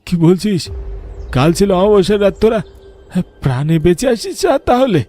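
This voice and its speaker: A man's voice: high voice, very animated, moderate pace, mellow. A man voicing a protective older uncle in a cartoon story, with a grave, admonishing manner. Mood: alarmed. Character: concerned older uncle, stern yet protective.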